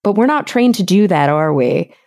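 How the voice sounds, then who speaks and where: A woman's voice: lowish voice, very animated, moderate pace, darkish tone, dry recording, clean studio sound. A woman from Texas hosting a podcast, talking through long, thoughtful points in casual conversation. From Texas, USA. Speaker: podcast host. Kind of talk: casual interview chat.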